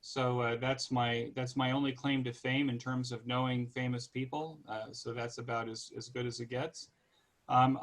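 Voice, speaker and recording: A man in his sixties from California with an American accent, giving an informal, halting, conversational online presentation. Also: medium pitch, a bit bright. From California, United States. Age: 60s. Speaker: guest presenter.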